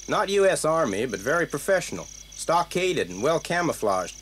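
Clipped soldier of fortune. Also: male voice, a little high, very animated, moderate pace, a bit bright, slight background noise. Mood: businesslike. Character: brisk male soldier of fortune reporting findings.

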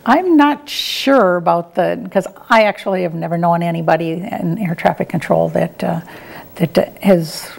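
A woman's voice: on the low side, normal speed, gravelly, a little mellow. A middle-aged woman answering interview questions in a conversational, plain-spoken and somewhat hesitant way. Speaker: interview guest, retired air traffic controller and professor.

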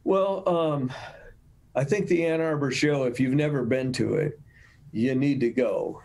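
Older man, American accent, slow pace, middling pitch, halting, thoughtful, interview guest, video call. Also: a little mellow. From United States, Michigan. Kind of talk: reflective interview talk. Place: room at home, over video call. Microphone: video call mic.

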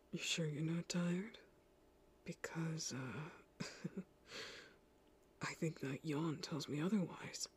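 A woman voicing a gentle, caring teenage boy in an anime audio roleplay, soothing a partner in a breathy voice that often drops to a whisper. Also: low-pitched, slight background noise. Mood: hushed soothing. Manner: soothing, tender, slow.

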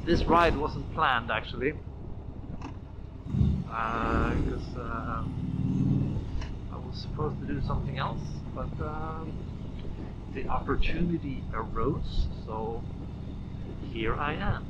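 Guy vlogging on a motorbike, slow halting casual talk, muffled audio, really loud ride noise. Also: mid-range voice. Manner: hesitant, halting, thinking aloud. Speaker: motovlogger. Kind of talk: casual rambling vlog while riding a motorcycle. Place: outdoors on a moving motorcycle on a road.

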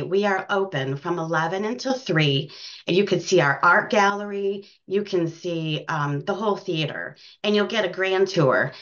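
A woman's voice: fairly low pitch, a bit bright. An American woman chatting casually as an interview guest about her work in community theater. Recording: dull, lo-fi sound.

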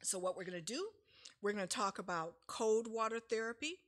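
A middle-aged woman leads a health seminar from a church lectern. She explains in a relaxed, chatty way, with fillers here and there. Her voice sits at an ordinary pitch and is picked up from across the room. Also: brisk. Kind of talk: casual lecture with slides. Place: church sanctuary, speaking at a lectern.

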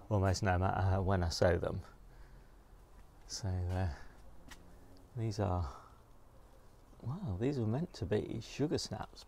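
A middle-aged man narrates casually as he walks around outdoors. His voice sits fairly low, with a noticeable gravelly creak. He sounds understated and unscripted, musing aloud over faint open-air noise from a handheld recording. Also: darkish tone. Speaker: gardener giving a garden tour. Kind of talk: casual unscripted vlog narration while walking. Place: outdoors in a home garden. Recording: clear outdoor background noise.

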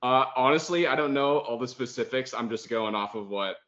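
A man's voice: medium pitch, a little fast, a bit bright. An American man in his thirties, a podcast guest on a video call, talking in a laid-back way with lots of "like.". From United States. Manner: laid-back, says "like" a lot. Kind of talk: casual video-call interview. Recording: muffled video-call audio.

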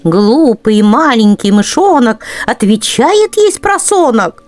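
A woman's voice: a little high, lively intonation, slow pace, darkish tone, soft background music. A woman storyteller narrating children's verse expressively.

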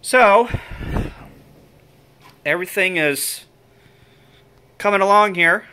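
A man giving an informal hands-on equipment demonstration as a hobbyist, explaining step by step in short, halting phrases. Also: high voice, bright, forceful tone, a little hiss or hum. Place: indoor room with equipment on folding tables. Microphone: handheld camera or phone, operator behind it.

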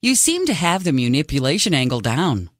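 A female reality-show announcer for a video game. Her voice is low and very lively in its swings, arch and mock-dramatic. It is recorded close and dry. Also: fairly quick, no echo, exaggerated voice.

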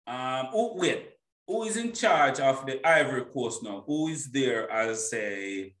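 A man's voice: mid-range voice, a bit bright. A middle-aged man hosts an informal conversation in an opinionated, emphatic way. He repeats words to drive points home, and his microphone picks up a roomy echo. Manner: emphatic, repeating himself for stress. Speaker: interview host. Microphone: boom-arm microphone, headphones.

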